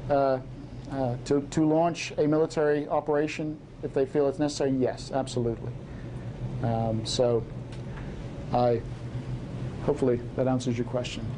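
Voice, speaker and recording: A middle-aged man from Hong Kong lecturing and answering audience questions, halting, with many fillers and restarts. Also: mid-range voice, level tone, noisy background.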